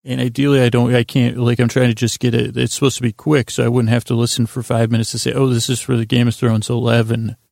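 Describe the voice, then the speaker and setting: Male sleep-podcast host: creaky, gravelly voice, flat-leaning delivery, meandering and halting, full of digressions and doubled-back phrases. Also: mid-range voice, no room sound, studio quality. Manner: halting, repetitive, digressive, self-interrupting. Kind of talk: rambling, meandering bedtime monologue.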